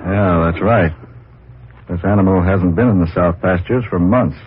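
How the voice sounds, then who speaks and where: An actor playing a stern, plain-spoken rancher and boss in an old radio drama, firm and folksy. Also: male voice, sits low, moderate pace, slight background noise, lightly acted. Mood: troubled suspicion. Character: stern, loyal male cattle rancher, troubled boss. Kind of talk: 1940s radio drama acting. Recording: muffled, husky old radio transcription.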